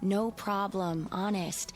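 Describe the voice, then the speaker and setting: A woman's voice: mid-range voice, steady tone, slow pace, faint music behind, close to an everyday voice. An actress playing a breezy, generous, wisecracking female friend in a radio drama, talking casually. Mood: breezy, friendly.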